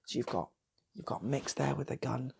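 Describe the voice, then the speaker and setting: A man's voice: mid-range voice, moderate pace, vocal fry, darkish tone, slight background noise. A man improvising a comic story as a host, rambling, repeating phrases and slipping into acting out characters. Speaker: storytelling host of an online channel.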